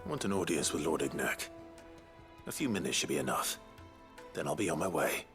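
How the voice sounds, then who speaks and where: A man playing a polite, resolute adventurer in a video game, plain-spoken and measured. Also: rumbling low, big pitch swings, over music. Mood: calm, determined. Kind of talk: video game cutscene acting.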